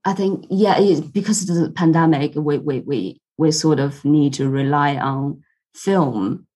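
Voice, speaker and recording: A woman in her twenties from China, Mandarin native, chatting as an interview guest, halting and repeating herself. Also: sits low, warm tone. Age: 20s. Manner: halting, repeats words, laughs often. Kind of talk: casual interview chat. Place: home room with a cat.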